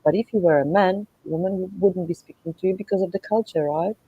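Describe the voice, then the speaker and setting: A woman's voice: lowish voice, a little fast, slightly rough, phone-quality sound. A middle-aged woman with a Polish accent, chatting casually and animatedly as she tells stories as a podcast guest. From Poland. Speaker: podcast guest, motorcycle traveller and content creator. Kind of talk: casual conversational storytelling.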